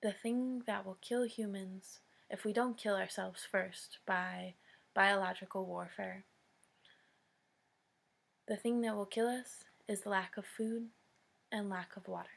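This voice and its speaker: An American woman giving an earnest public address, speaking solemnly and deliberately in short phrases with long pauses. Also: medium pitch, fairly even intonation, vocal fry. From United States.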